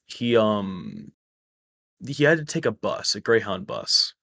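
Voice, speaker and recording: A man with a slightly raspy voice, rambling through a story in casual chat over a voice call. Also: lowish voice, wildly swooping pitch, slow pace, a bit bright, dry recording, studio quality. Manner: rambling, self-correcting storyteller. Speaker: stream guest. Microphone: close microphone.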